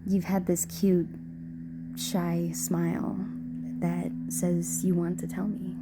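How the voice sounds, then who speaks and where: A woman playing a gentle, playful ghost girlfriend in an intimate romantic audio roleplay, speaking softly and formally with halting hesitations. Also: on the low side, level tone, gravelly, very noisy. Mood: wistful, curious. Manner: soft, hesitant, formal wording with halting stammers. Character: gentle playful ghost girlfriend, shy, tender, old-fashioned speech.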